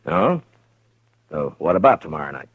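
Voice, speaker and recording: A man's voice: a bass voice, very animated, moderate pace, husky, full character voice. An actor playing a firm male frontier marshal with dry humor in a 1950s radio drama. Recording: dull vintage radio transfer.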